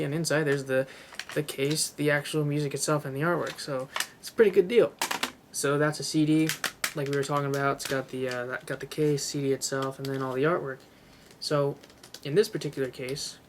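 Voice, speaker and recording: Chatty young man with faint hiss. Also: mid-range voice. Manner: casual, rambling, enthusiastic, many fillers and swearing. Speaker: music collector vlogger. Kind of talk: casual show-and-tell vlog.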